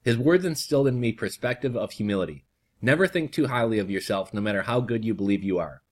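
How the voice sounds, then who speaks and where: A hoarse young man who reads an article aloud on his vlog and drifts into loose, casual chatter full of fillers. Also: lowish voice, lively intonation, fast talker. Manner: reads steadily, then chats loosely with fillers. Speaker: vlogger.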